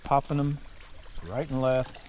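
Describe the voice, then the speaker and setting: A man in his forties hosting a fishing video from a boat on a river, chatting casually and breaking into loud bursts of excitement. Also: mid-range voice, a little slow. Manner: excitable, loud bursts when fish hit. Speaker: fishing channel host. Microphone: action camera on the boat. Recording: outdoor camera audio with water noise.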